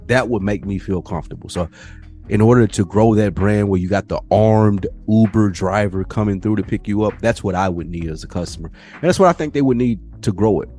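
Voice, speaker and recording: Low-voiced man chatting on a podcast. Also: darkish tone. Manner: slangy, profane, opinionated. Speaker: podcast co-host. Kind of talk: casual conversational podcast talk.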